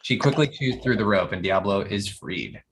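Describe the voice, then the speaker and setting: Husky narrator reading cartoon stage directions. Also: low voice, animated, normal speed, rough recording. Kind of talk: scripted narration at comedy table read.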